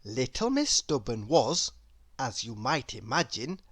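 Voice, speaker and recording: A woman reading a children's story aloud, deliberate and expressive in her storytelling. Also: very deep voice, slightly rough, no echo, clean studio sound. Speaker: storybook reader. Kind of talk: read-aloud narration for children.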